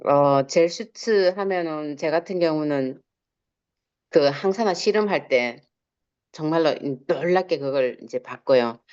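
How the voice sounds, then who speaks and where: A woman with a very low, smooth, resonant voice, heard over dull online meeting audio. She speaks effusively and informally to a group, repeating words for emphasis. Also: a little slow, darkish tone. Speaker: business owner sharing product praise.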